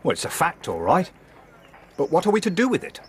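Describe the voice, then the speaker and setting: An English actor playing a dogged, weary police inspector in a radio drama, blunt and matter-of-fact with a grumbling edge. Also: male voice, a little high, huge pitch swings, a little fast, slight background noise. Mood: exasperated. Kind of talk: British radio drama acting.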